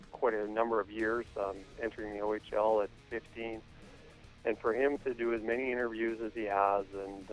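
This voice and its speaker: A man speaking as a hockey coach in a phone interview, answering in a hesitant, measured way with many pauses. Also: lowish voice, dull audio. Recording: phone interview with music underneath.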